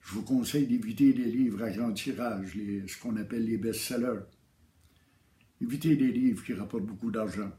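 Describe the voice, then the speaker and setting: A man giving a calm, measured spiritual teaching, repeating key phrases. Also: lowish voice. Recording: roomy echo.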